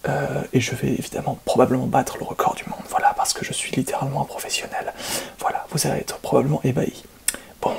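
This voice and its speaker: A man acts out an obnoxious, self-satisfied male gamer in an ASMR roleplay video. He whispers his speedrun commentary with heavy vocal fry. His delivery is condescending and halting, that of a deluded braggart sure of his own greatness. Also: medium pitch. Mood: smug. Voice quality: breathy whisper with creaky edges. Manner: smug, condescending, hesitant rambling delivery. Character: detestable, boastful, deluded male speedrun gamer blaming bugs. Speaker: video game streamer.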